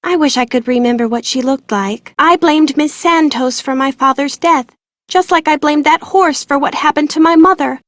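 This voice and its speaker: Glum young woman, high voice, guarded, self-deprecating, video game dialogue, dry close sound. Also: moderate pace, a bit bright, no room sound. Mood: shaken, remorseful. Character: withdrawn, glum young woman haunted by childhood loss.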